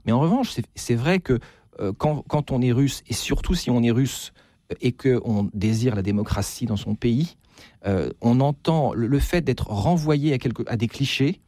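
Male author in interview, soft dark timbre, hesitant phrasing with repeats, dry close sound. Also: medium pitch, no room sound. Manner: halting, repeats words while searching for phrasing. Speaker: guest novelist. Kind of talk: unscripted interview discussion.

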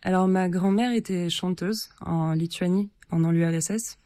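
A woman from Lithuania, a singer-songwriter, answering radio interview questions in a shy, soft-spoken and hesitant way with many fillers. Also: on the low side, fairly even intonation, normal speed, dry recording, studio quality.

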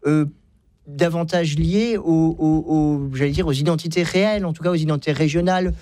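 A man's voice: a little high, steady tone, a little fast, warm tone, no room sound. A man speaking off the cuff as a radio debate guest, hesitant and often stammering over repeated words.

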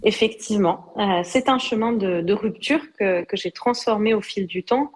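Interview guest: woman, middle-aged, medium pitch, hesitant. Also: moderate pace. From Lebanon. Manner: hesitant, many fillers and restarts. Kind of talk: personal testimony in an interview.

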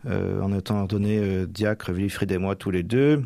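Hesitant man with a low voice. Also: fairly even intonation, normal speed, vocal fry, dark tone, no room sound. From Normandy, France. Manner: hesitant, many fillers and restarts. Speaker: deacon soon ordained priest. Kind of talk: radio interview.

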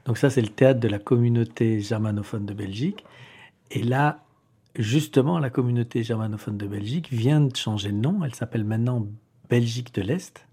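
A man's voice: medium pitch, fairly quick, mellow, husky. A man asking an interview question in a hesitant, explanatory way.

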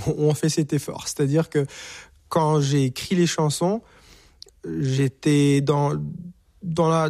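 A man's voice: fairly high pitch, darkish tone, no echo, clean studio sound. A man from Rwanda, a singer and author, answering interview questions thoughtfully and searching for words with many restarts. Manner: halting, searching for words, frequent repeated words.

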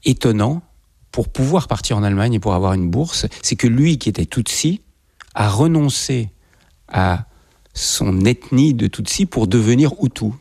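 A man's voice: mid-range voice, animated, moderate pace, gravelly, a little mellow, breathy edge, no echo. A man hosting a conversational interview, putting questions to a guest about their life. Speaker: interviewer, host.

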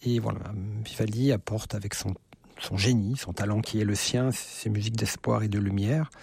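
A man's voice: lowish voice, steady tone, moderate pace, vocal fry, darkish tone, breathy edge, no room sound. A man interviewed on radio as a conductor, speaking with many hesitations and repeated words. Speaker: conductor, festival guest.

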